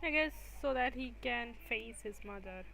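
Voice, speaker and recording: Creaky-voiced woman game streamer. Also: fairly high pitch, expressive, normal speed, fairly bright tone, a little hiss or hum, poor audio. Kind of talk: casual livestream reaction. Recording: music behind the voice.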